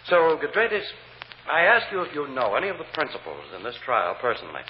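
A muffled, faintly hissy vintage radio recording of a courtroom lawyer. He probes insistently in a lively, swinging male voice, rephrasing his questions as he goes. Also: a little high. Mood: probing, firm. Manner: brisk questioning with hesitant uhs and rephrasing. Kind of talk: vintage radio drama acting.

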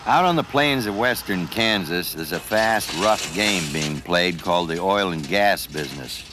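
A man's voice: deep voice, expressive, a little slow, bright, forceful tone, a little hiss or hum. A man reading scripted narration as the narrator of a documentary.

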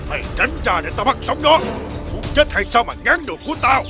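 A man voicing a greedy, bad-tempered older master in an animated folk tale, scolding and bossing in an exaggerated way. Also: very high-pitched, quick pace, over music. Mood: furious.

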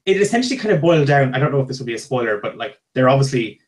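A man, talking emphatically and haltingly in a casual book club chat on a video call. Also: mid-range voice, very animated. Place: small home room, indoors. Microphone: earphone headset on a video call.

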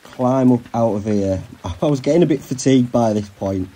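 A man's voice: medium pitch, normal speed, warm tone. A man, vlogging casually on a long hike, chatting in a tired, rambling way and laughing often. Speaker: hiking vlogger. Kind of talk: casual vlog chat and map recap. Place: outdoors on a country road and moorland. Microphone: camera held at arm's length.

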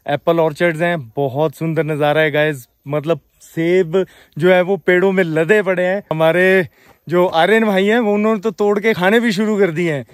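A man's voice: sits high, fairly even intonation, normal speed, no echo, studio quality. A man from India narrating a travel vlog casually and enthusiastically, mixing English words into his speech and addressing viewers directly. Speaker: travel vlogger. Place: outdoors on mountain roads and in orchards.

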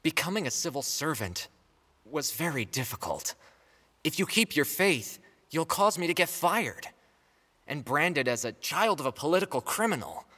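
A man playing a worried young adult son in a stage drama, pleading under pressure in a husky voice. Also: fairly high pitch, sing-song, normal speed, bright, forceful tone, heavily acted. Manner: pleading, strained. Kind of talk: stage drama acting, English dub. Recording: reverberant stage hall.

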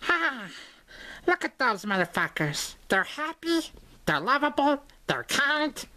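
A man's voice: very high-pitched, big pitch swings, slow, pushed and bright, full character voice. A man voicing a grouchy, foul-mouthed penguin toy in an amateur comic skit. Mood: crude, grumpy. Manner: crude, profane, cranky.

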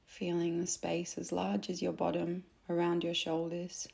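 A woman guiding a meditation in a soft, gentle, unhurried way, with phrase endings that turn breathy. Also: lowish voice, completely flat delivery. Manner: gentle, unhurried, instructing softly with pauses. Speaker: meditation guide. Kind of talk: soothing guided meditation narration.

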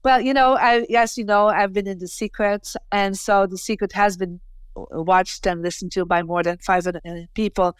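Smooth-voiced woman on a video call. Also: mid-range voice, fairly even intonation, normal speed, dry recording. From Belgium. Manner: chatty, frequent "you know" and "right?" tags. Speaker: guest, feng shui teacher. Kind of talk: conversational interview answers. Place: bright indoor room at home. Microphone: earphone headset on a video call.

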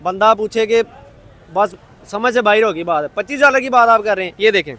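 A man's voice: high voice, fairly quick, pushed and bright. A young man explaining with disbelief in a street interview at a busy night market, into a handheld mic. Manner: incredulous, explaining. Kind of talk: street vox pop.

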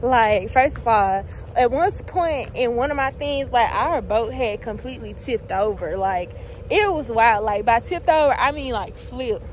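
A woman's voice: fairly high pitch, measured pace, a little hiss or hum. A young college woman, chatting expressively to her phone camera in a casual vlog. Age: young adult. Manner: chatty and expressive, Southern slang. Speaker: college student vlogger. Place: campus, car and outdoors, mixed with voice-over. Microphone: phone held at arm's length.

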